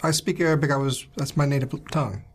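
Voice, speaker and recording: A player voicing an earnest Egyptian man who grew up partly in England, talking in a halting way. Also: mid-range voice, steady tone, voice pushed down, close to an everyday voice.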